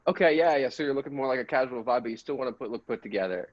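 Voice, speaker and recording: A man acts out a male shop salesman in improvised comedy, mid-pitched and colloquial. He is chatty and faintly snobbish about style, stumbling through his pitch. The recording is dry and slightly muffled. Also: no room sound, natural voice. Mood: helpful, casual. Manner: halting, colloquial sales patter.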